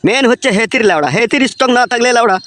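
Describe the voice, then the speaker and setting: A man's voice: extremely high pitch, animated, rapid-fire, a bit bright. A man voicing a cheeky, foul-mouthed village friend in a comic cartoon, with a rural West Bengal accent and an insistent, teasing way of talking. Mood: insistent advising. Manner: crude, swearing, teasing and insistent.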